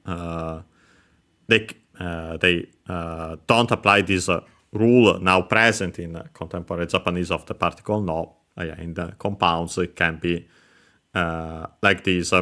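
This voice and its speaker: Low-voiced guy teaching japanese words, says uh a lot, crisp bright tone. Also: steady tone, unhurried. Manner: hesitant, many filler sounds, careful explanation. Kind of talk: explaining grammar over gameplay.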